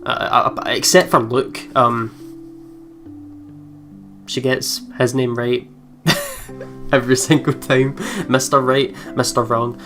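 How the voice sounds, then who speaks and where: A man hosts a let's play in a medium-pitched voice. He reads the story aloud expressively and drops in playful asides, with soft guitar game music in the background. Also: sing-song, slow, pauses often.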